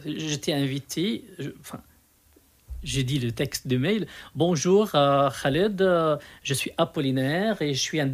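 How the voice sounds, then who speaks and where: Higher-voiced Lebanese man telling his experiences. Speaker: storyteller, interview guest. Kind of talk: conversational storytelling in an interview.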